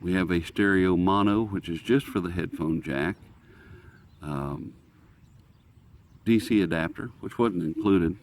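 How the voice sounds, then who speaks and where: A man with an American accent giving a casual, unscripted product review, halting and thinking aloud as he goes. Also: deep voice. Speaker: host of a radio review video. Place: outdoors.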